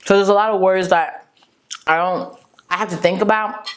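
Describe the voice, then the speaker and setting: A woman's voice: mid-range voice, fairly even intonation, normal speed, smooth. A young woman, a French native speaker, chatting sassily with viewers and calling them girl and honey. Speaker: beauty vlogger. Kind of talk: casual chat answering viewer assumptions.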